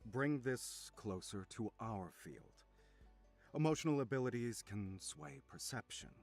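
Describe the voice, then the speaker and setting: A man playing a composed, severe lecturer in a visual novel, speaking with controlled, deliberate formal oratory. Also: medium pitch, animated, creaky, a little mellow, faint music behind. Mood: measured, probing. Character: composed male lecturer, measured and severe about ethics.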